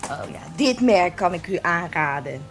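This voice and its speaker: Lively, obliging saleswoman. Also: fairly low pitch, normal speed, soft background music. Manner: polite, obliging. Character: helpful, brisk shop saleswoman. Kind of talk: Dutch radio play acting.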